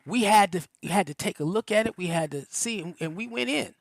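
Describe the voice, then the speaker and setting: A man with a fairly high voice gives a spiritual teaching on a livestream. He talks loosely and conversationally, often restarting and filling gaps. The sound is close and dry, with no room echo. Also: animated, fairly quick, vocal fry.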